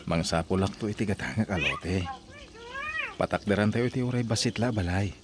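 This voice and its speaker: A man's voice: low voice, moderate pace, noisy background, lightly acted. A man with an Ilocano accent playing a loyal, earnest young husband in an audio drama. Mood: concerned.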